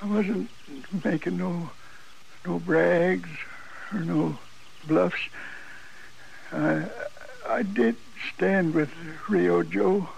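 An old radio western gives us a frail, dying gunfighter, his high voice heavy with creak. He is proud and desperate to be believed, pushing out American frontier speech in weak fragments, very slowly. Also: full character voice, rough recording. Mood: pleading, insistent. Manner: halting, broken, laboured speech. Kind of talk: 1950s American radio drama acting. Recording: old radio recording with faint noise.

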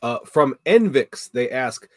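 A man hosts a livestreamed debate and reads viewer questions aloud, brisk and flat. His mid-pitched voice sits in a dry, close-sounding recording. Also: dry recording. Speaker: debate moderator.

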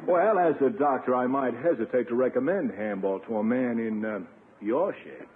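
An actor playing a smooth, needling young doctor in a 1950s radio comedy. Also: male voice, medium pitch, lively intonation, normal speed, close to an everyday voice. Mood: smooth, teasing. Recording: noisy, narrow, tinny 1950s radio recording.

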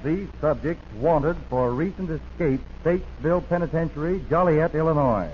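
A man's voice: a little high, normal speed, dull audio, slight background noise. An American man announcing in urgent, melodramatic 1940s radio style, on an old broadcast recording. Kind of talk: 1940s dramatic radio narration.

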